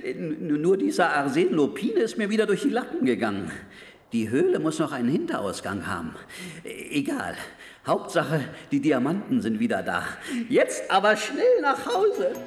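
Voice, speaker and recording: A man's voice: fairly high pitch, wildly swooping pitch, normal speed, husky, full character voice. A man playing a feisty, boastful cartoon sailor in a comic children's radio play, exclaiming and thinking aloud to himself. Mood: amazed, gleeful.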